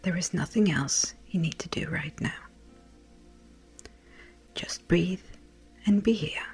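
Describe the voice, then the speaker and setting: A woman with a breathy, whispery voice gently and soothingly guides a meditation in a measured way. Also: lowish voice. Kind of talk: slow guided meditation narration. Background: music.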